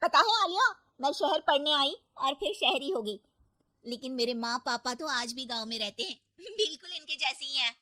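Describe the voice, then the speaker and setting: Woman playing an excitable, bright-voiced young socialite. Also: high-pitched, moderate pace, pitched above the performer's own voice, poor audio. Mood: admiring. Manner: gushing, outspoken. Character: excitable, outspoken young city women, wife's party friends. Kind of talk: acted story dialogue.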